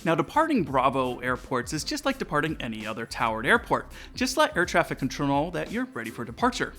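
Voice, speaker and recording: A man's voice: on the high side, huge pitch swings, quick pace, sharp tone. A man, giving a scripted, instructive explainer as a presenter. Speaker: presenter of flight tutorial. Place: small indoor studio set. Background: background music.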